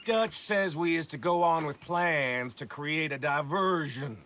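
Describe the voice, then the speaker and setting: A man's voice: on the high side, a little slow, a bit bright. A man playing a smug, scheming American outlaw in a Western video game, with a taunting, self-important manner. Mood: insistent. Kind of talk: dramatic video game acting. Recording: game audio with faint background noise.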